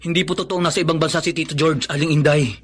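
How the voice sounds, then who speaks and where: Urgent, high-voiced young man. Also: fairly even intonation, fast, a bit bright, slightly rough. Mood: urgent persuasion. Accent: Filipino. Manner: urgent, insistent. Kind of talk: Filipino radio drama acting.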